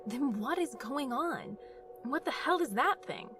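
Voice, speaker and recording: Blunt, lively young female roommate. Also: high voice, unhurried, music in the background. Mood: concerned, probing. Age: young adult. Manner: blunt, exasperated, inquisitive. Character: blunt, fussy young engineering student roommate. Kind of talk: audio drama acting.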